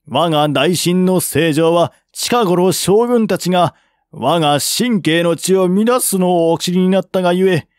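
Court envoy acted by a male reader, high-pitched, polite, insistent, formal historical audiobook delivery, dry sound. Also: no room sound. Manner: formal, deferential, argumentative.

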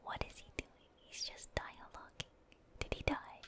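Female ASMR gamer, fully whispered delivery, casual and slangy, muttering reactions to viewers during play. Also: normal speed. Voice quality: soft unvoiced whisper. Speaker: streamer, gaming ASMR creator. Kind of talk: whispered ASMR gameplay commentary.

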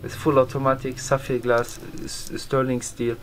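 A man with a Turkish accent giving an informal sales pitch as a vendor, in short phrases of non-native English. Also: little pitch movement, normal speed. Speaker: market vendor. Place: covered bazaar and watch shop. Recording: loud bazaar noise, handheld camera.

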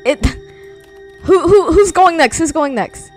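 A woman playing a bossy, excitable young woman who panics easily in an amateur horror roleplay. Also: very high-pitched, measured pace. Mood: pushy. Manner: pushy, repetitive, excitable. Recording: music behind the voice.

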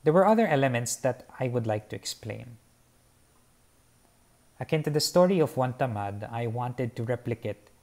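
A young man from the Philippines talks through his painting in a slow, earnest, formal way, his voice sitting at an ordinary middle pitch. He speaks from a fixed camera a short way off on an open garden patio. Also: darkish tone. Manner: measured, earnest, formal wording. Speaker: painter explaining his artwork. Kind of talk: reflective artist talk. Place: covered patio by a garden, outdoors.

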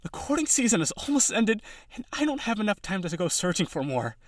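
A young male harpy suitor, acted by a man in an audio roleplay, with a slightly high voice recorded close and dry. He is awkward and insecure, rambling earnestly as he pleads his case straight to the listener. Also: very animated, fairly quick, no echo. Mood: frustrated pleading.

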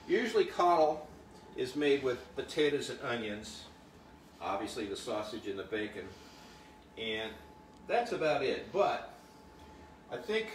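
A man hosting a cooking show, chatting and explaining the dish as he cooks in a kitchen. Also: mid-range voice. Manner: chatty, explains while he works. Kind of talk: casual cooking demonstration. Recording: roomy kitchen sound, mic at a distance, clear background noise. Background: frying and sizzling.

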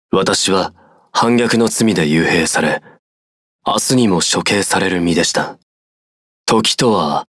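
A melancholy, reserved mage with a very low, husky voice. He speaks in a polite, subdued way. The recording is close and dry, with no room sound. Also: fairly bright tone, dry recording, exaggerated voice. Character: calm, melancholy male scholar-mage, reserved. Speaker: game character. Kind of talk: game voice clips.

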